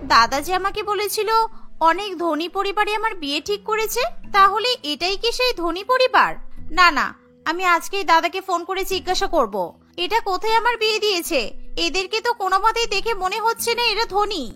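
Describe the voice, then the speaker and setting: A woman's voice: very high voice, moderate pace, pushed and bright, faint music behind. A woman voicing a spoiled, indignant young bride in a cartoon, with a whiny, demanding way of talking. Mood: dismayed. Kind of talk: Bengali cartoon voice acting.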